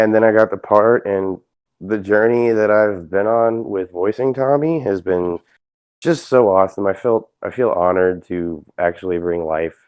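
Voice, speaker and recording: A man chatting as an interview guest, speaking earnestly and haltingly over a lo-fi voice call. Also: low-pitched, smooth, dry recording. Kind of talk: casual interview chat. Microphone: online voice call. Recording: dull lo-fi voice call.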